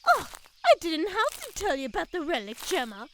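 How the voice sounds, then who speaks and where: A teasing, cautious female fairy in an audio comedy. Her pitch is high and very animated, with faint crickets and woodland sound behind her. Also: moderate pace, a little hiss or hum, heavily acted. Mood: indignant. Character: teasing, cautious fairy friend Ruby, young woman. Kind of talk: fantasy comedy audio drama.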